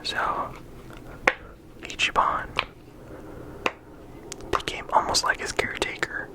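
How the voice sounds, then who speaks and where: An ASMR creator giving a whispered, unhurried character discussion, often pausing and restarting mid-sentence. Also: low-quality recording. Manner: unvoiced whisper throughout.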